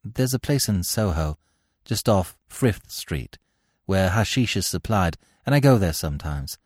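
A man's voice: low voice, expressive, unhurried, a little mellow, no echo, clean studio sound. A man voicing a respectful former soldier who gives a reluctant confession in an audiobook. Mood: cooperative, respectful. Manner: hesitant, respectful. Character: deferential male ex-soldier confessing as a bribed witness.

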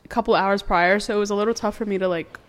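A woman's voice: mid-range voice, steady tone, quick pace. A young woman co-hosting a podcast, telling a story in casual chat, laughing often and acting out what people said. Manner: laughs often, acts out quotes. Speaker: podcast co-host.

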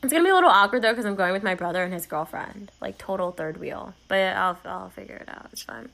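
A woman's voice: mid-range voice, lively intonation, quick pace, creaky, a bit bright. A young woman co-hosting a casual podcast, joking with friends and often trailing off in hesitant, self-deprecating asides. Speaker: podcast co-host. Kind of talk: casual banter.

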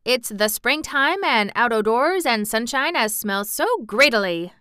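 A woman's voice: a little high, expressive, unhurried, pushed and bright, clean tone, dry recording, clean studio sound, voice pushed up. Woman doing a proud little girl in Yorkshire dialect. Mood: eager. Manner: proud, playful broad dialect. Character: spirited young girl trying out Yorkshire dialect.

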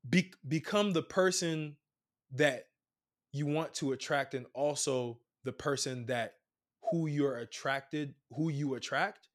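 A man's voice: fairly high pitch, takes it slowly. Emphatic man from Brooklyn, podcast guest. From Brooklyn, New York. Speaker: podcast guest, entrepreneur and coach. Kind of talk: casual conversational advice.

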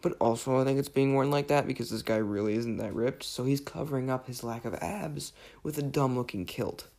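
A podcaster with a raspy voice, under the weather, rambling through a self-deprecating, jokingly exasperated film rant. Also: medium pitch, brisk, a little mellow. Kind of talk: casual rambling film commentary.

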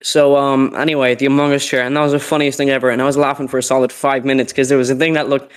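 A man's voice: medium pitch, little pitch movement, racing, smooth. A young man with a cold, telling a story in a casual podcast chat, rambling with many fillers and restarts. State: sick, sweating. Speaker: podcast co-host.

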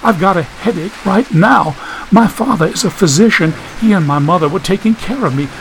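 Guy reading as a scared sick young woman, pitched up, plaintive and polite, audiobook, faint rainy noise. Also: normal speed, rough recording. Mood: distressed. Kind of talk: audiobook character voice.

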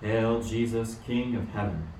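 A man's voice: lowish voice, a little slow, warm tone, natural voice. A man playing a solemn, sorrowful king of the poor in a radio play, grave and declamatory. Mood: reverent. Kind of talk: amateur radio play acting. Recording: echoey room, distant mic, faint noise.

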